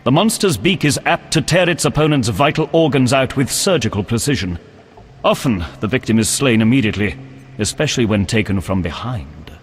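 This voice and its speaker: An actor playing an earnest, pious knight in a video game, speaking in a formal, courteous and solemn manner. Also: male voice, medium pitch, lively intonation, brisk, slight background noise, natural voice. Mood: instructive, earnest. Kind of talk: video game character acting. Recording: long echoing reverb.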